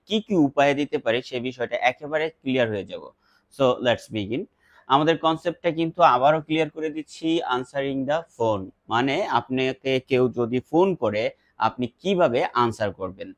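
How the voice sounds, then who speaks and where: A man with a Bangladeshi accent teaching spoken English, instructive, saying example phrases slowly and emphatically and then explaining them. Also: mid-range voice, dry recording.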